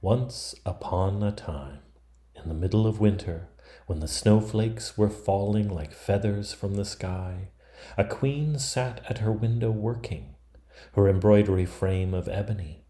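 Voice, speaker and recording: Man in his fifties, low-pitched, soft dark tone, storybook narration, expressive, noticeable room echo. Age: 50s. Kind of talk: dramatic storybook reading. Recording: roomy, echoing sound.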